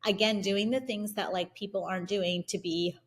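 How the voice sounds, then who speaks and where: A woman whose first language is Italian, telling her investing story as an interview guest in an energetic, fast, filler-heavy way. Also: mid-range voice. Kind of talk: casual interview conversation.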